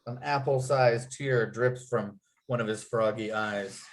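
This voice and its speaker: A man with a medium-pitched voice serves as narrator for a script read. He delivers stage directions and scene headings as written, in descriptive phrases. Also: steady tone, measured pace. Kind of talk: reading screenplay stage directions aloud.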